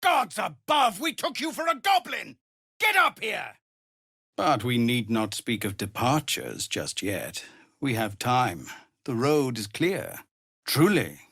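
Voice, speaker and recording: An actor playing a weary, dutiful tiefling ex-soldier who leads refugees in a video game, speaking in a grave, measured, earnest way. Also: male voice, mid-range voice, sing-song, creaky, a bit bright, husky, no echo, studio quality, full character voice. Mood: angry, commanding, then measured, wary. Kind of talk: dramatic video game character acting.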